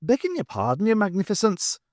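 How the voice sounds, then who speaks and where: A man doing a high, wheedling Irish spaceman. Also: huge pitch swings, brisk, a little mellow, no room sound, studio quality. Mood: pleading. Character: Irish space traveller, pleading and sorrowful.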